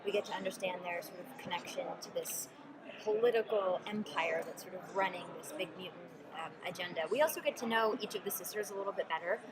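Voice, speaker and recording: A woman, an actress chatting at a press junket in a measured, slightly hesitant way. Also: lowish voice, poor audio. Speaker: actress interviewed about her series. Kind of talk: casual press-junket chat. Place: busy convention floor. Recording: loud convention crowd behind.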